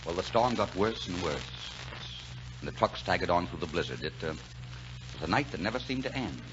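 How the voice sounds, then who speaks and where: An actor playing a kindly, gruff, lonely truck driver in folksy 1940s radio drama style. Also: male voice, deep voice, expressive, a little fast. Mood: somber narrating. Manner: folksy, plainspoken. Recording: muffled, noisy 1940s radio recording.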